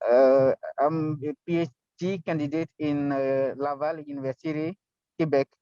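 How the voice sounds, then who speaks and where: Man, native french speaker, careful halting english, slightly high voice, dull call audio. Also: flat delivery, mellow, clean tone, no room sound. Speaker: PhD candidate.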